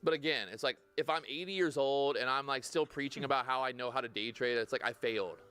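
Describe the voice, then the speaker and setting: A man's voice: mid-range voice, sharp tone, smooth, faint music behind. A man in casual podcast chat, blunt and profane, arguing hard. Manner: blunt, profane, fast-talking.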